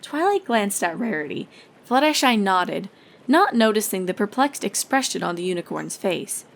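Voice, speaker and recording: A woman's voice: mid-range voice, very animated, moderate pace, creaky. A woman reading scripted story narration as the narrator of a fan fiction tale.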